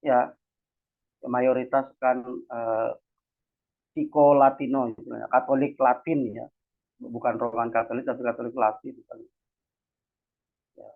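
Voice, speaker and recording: A man's voice: mid-range voice, level tone, measured pace, creaky, long pauses. A man from Central Java gives an informal lecture, chatty and slangy, often checking that listeners follow. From Cilacap, Central Java, Indonesia. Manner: conversational, repeatedly adds checking tags while explaining. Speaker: academic discussion host and speaker. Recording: tinny phone-like online audio.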